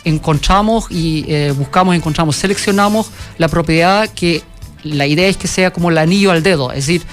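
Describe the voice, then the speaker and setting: A man from Germany with a German accent, a company founder answering questions in a radio interview, halting and full of fillers and restarts. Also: on the high side, soft background music. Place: radio studio.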